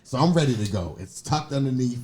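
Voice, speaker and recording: A man's voice: mid-range voice, expressive, a little fast, a little mellow, slight background noise. A man telling a comic story in casual, slangy conversation, acting out the exchanges as he goes. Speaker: podcast guest telling personal stories.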